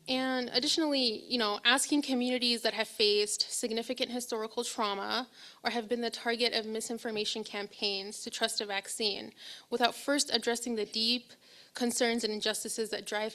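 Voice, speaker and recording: A woman's voice: a little high, a bit bright. A young woman from Ethiopia, a public health researcher, speaking earnestly on a panel with many fillers and restarts. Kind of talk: panel talk.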